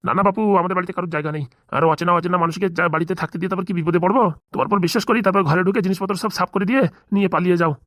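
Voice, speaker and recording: A woman voicing a wary, grumbling middle-aged village man in a comedy cartoon, haggling gruffly. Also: fairly low pitch, rapid-fire, fairly bright tone, no room sound, studio quality, lightly acted. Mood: suspicious.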